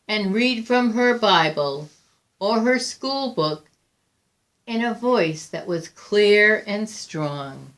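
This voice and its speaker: Slow, expressive woman reading a storybook. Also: mid-range voice. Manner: deliberate, expressive, with drawn-out emphasis and pauses. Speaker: volunteer picture book reader. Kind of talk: read-aloud storytelling of a children's picture book.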